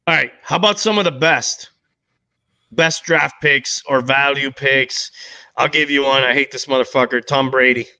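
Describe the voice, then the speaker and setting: Bright, forceful man, close and dry. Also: mid-range voice, moderate pace, clear, resonant tone, no room sound. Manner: blunt, profane, slangy. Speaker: podcast co-host. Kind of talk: casual sports banter.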